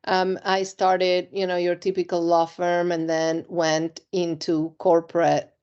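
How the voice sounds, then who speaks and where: A middle-aged woman from Argentina, interviewed about her career, speaks in a measured, reflective way with frequent ums. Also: fairly low pitch, flat delivery, darkish tone, clean tone, lo-fi sound. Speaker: compliance executive, interview guest.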